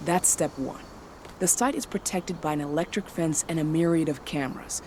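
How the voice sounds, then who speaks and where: An actor playing a pragmatic, supportive rebel comrade and tech saboteur, casual and frank, in a video game cutscene. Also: male voice, a little high, noisy background, natural voice.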